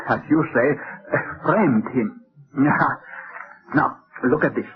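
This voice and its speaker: Courteous official, high-ish voice, animated intonation, formal wording, tinny old radio sound. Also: male voice. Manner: formal, precise, polite. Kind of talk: mid-century radio drama acting. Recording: tinny, narrow-band old radio recording.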